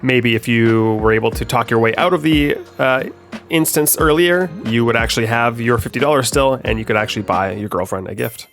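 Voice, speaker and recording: A man's voice: medium pitch, brisk, soft background music. Stuffy-nosed man explaining his game. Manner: relaxed, explanatory, many ums and uhs. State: stuffed up from a head cold. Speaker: game developer. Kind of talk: gameplay commentary.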